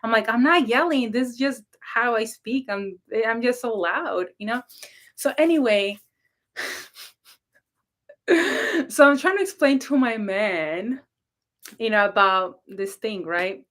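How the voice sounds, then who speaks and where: A woman hosting a casual livestream, telling a story with a naturally loud voice, rambling and laughing between throat clears. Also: fairly high pitch, lively intonation, slow pace, pauses often. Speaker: livestream host, spiritual reader.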